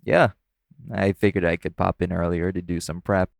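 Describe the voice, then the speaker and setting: A man's voice: sits low, steady tone, normal speed, gravelly, no echo, studio quality. A man playing an easygoing, teasing club performer who chats casually with a coworker in an intimate audio roleplay. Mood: relaxed, confiding.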